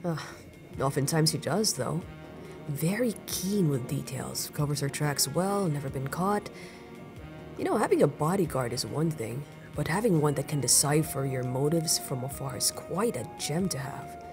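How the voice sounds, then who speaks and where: An easygoing male syndicate veteran, played by an actor, with an animated, swooping delivery. He sounds amused and conversational, teasing like an old friend in an audio roleplay with music clearly underneath. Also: fairly high pitch, moderate pace. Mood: reflective. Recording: music playing clearly behind the voice.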